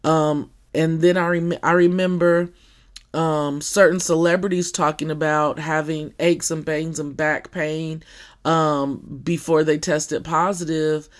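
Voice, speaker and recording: A podcaster with a scratchy, somewhat deep voice, talking in a casual personal monologue full of fillers and restarts. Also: level tone. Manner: halting, with many fillers and restarts.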